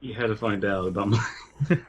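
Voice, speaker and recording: Husky-voiced man on a podcast. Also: lowish voice, a little fast. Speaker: podcast co-host. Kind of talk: casual reading aloud and commentary.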